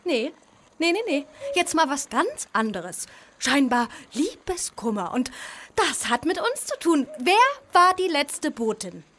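An actress playing a bossy, sarcastic official of heaven in a radio play, badgering and scolding. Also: female voice, sits high, big pitch swings, measured pace, fairly bright tone, exaggerated voice. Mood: probing, brisk.

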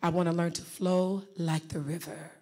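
A middle-aged woman facilitating a live seminar on a conference stage, speaking into a handheld mic with a lively, direct coaching manner. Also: fairly low pitch, moderate pace. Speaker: workshop facilitator. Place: large conference hall stage.

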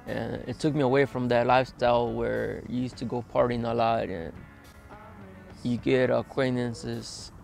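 A man in his twenties, interviewed outdoors in a desert yard, speaking plainly with many hesitant pauses. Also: medium pitch, vocal fry, darkish tone. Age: 20s. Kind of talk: documentary interview, recounting his past.

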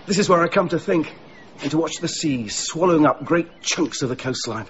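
An actor playing a genial, romantic husband in a radio drama. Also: male voice, on the high side, lively intonation, brisk, fairly bright tone, lo-fi sound, a little hiss or hum. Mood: wistful. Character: genial, romantic husband, parish council chair.

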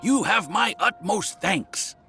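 An actor playing a genial, eager old landlord in a video game, effusive and polite. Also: male voice, high voice, animated, slow, sharp tone, faint music behind, exaggerated voice. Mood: grateful.

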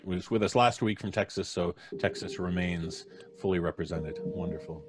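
Very low-voiced man hosting. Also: crowd noise. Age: middle-aged. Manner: halting, jovial. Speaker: podcast host. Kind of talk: casual live-stream introductions. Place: home studio, video call. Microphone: studio mic on boom arm.

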